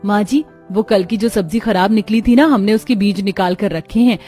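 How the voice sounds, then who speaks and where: A young village wife, played by a woman, cheerful and full of practical fixes. She speaks in an eager, homely storybook manner at middling pitch, with soft music underneath. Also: moderate pace, close to an everyday voice. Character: resourceful, cheerful young village daughters-in-law.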